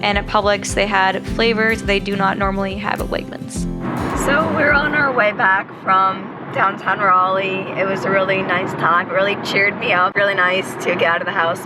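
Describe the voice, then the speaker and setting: A young woman with a hoarse voice from a cold, chatting casually as she vlogs and narrates her day. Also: medium pitch, low-quality recording. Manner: chatty, upbeat, lists details fondly. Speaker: vlogger. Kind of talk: casual vlog chat and voice-over narration.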